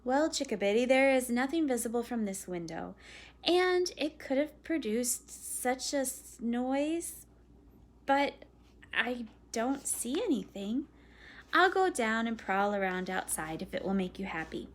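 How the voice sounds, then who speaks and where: A woman voicing a calm, patient father who gently teases his daughter with dry jokes. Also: fairly high pitch, a little slow. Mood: gently teasing. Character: calm, teasing father, a lawyer.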